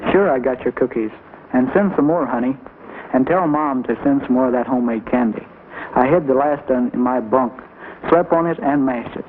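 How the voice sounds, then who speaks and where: A man's voice: medium pitch, normal speed. An American man talking casually and homely, as heard in a 1940s film. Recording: tinny noisy 1940s film soundtrack.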